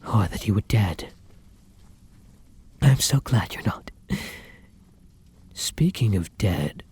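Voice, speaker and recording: A man playing a hungry, affectionate yet menacing vampire lover in an ASMR roleplay, speaking in a formal, theatrical way that often drops to a husky whisper. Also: medium pitch, a little mellow, no echo, full character voice. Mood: worried, relieved.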